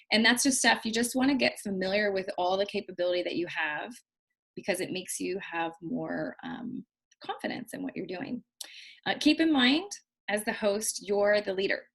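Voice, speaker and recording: A woman with a loud voice, giving a casual video-call lesson as host, chatty and full of restarts and fillers. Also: fairly low pitch. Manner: chatty, repeats herself, many fillers and restarts. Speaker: trainer hosting a video call. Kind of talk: casual instructional talk. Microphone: built-in computer mic on a video call.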